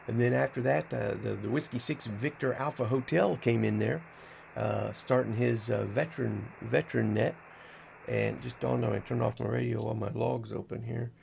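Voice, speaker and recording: A man with a gravelly, husky voice giving a casual, unscripted walkthrough as a video host, rambling in a folksy way and narrating each step as he goes. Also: medium pitch, a little mellow, slight background noise. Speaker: amateur radio operator, video host.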